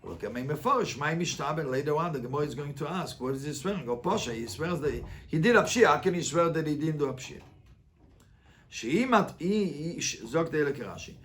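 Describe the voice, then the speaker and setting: A man's voice: fairly high pitch, lively intonation, fairly quick, a bit bright. A man teaching a Talmud class as a rabbi, reading and translating line by line with emphatic questions and repeated phrases. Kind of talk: interactive lesson, reading and translating a text line by line.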